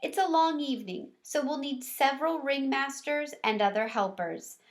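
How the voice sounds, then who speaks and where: A woman's voice: high-pitched, animated, moderate pace, voice pushed up. A woman voicing a kindly, practical female primary school teacher in a children's storybook, patiently explaining.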